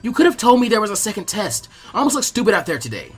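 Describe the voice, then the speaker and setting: Man voicing a high, brash boy ninja. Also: very fast, breathy edge, soft background music, heavily acted. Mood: indignant, eager. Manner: excitable, blunt.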